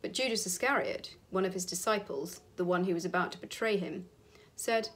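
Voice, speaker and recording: A middle-aged woman reads scripture in a solemn, measured way with clear phrasing. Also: lowish voice, fairly bright tone. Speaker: scripture reader. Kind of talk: solemn scripted Bible reading. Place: indoor room with wood panelling.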